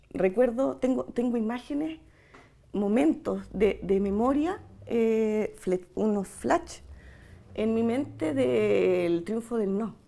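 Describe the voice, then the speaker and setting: A woman in her forties with a Chilean accent and a mellow, creaky voice at a middle pitch. She gives a personal testimony in a reflective way, often pausing to search for the right word. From Santiago, Chile. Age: 40s. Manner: reflective, hesitant, searching for words with long pauses. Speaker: interviewee giving testimony. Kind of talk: personal oral-history testimony.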